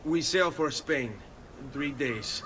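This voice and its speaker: A man's voice: mid-range voice, slow pace, sharp tone, slight background noise, poor audio. An actor playing an earnest, headstrong young nobleman with an Italian accent in a video game drama. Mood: earnest, resolute. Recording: music behind the voice.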